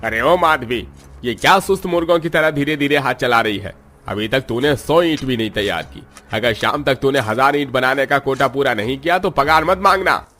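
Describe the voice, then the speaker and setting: A man's voice: medium pitch, moderate pace, bright, forceful tone, lightly acted. An actor playing a coarse, domineering bully of a man in a cartoon drama, full of scorn. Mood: barking impatience. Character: bullying, coarse man: grumpy boss and drunken husband.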